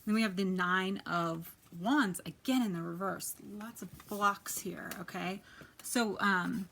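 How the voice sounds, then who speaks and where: A soft-spoken woman with a quiet voice, chatting casually as she gives a tarot reading, often dropping to a near whisper. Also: medium pitch, measured pace, creaky, slight background noise. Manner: chatty, many fillers, drifts to near-whisper. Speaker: tarot reader. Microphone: quiet home recording.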